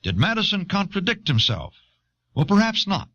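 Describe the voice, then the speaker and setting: A man reading scripted documentary narration in a measured, explanatory manner, on an old cassette recording. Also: high voice, wildly swooping pitch, a bit bright, lo-fi sound. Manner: measured, expository.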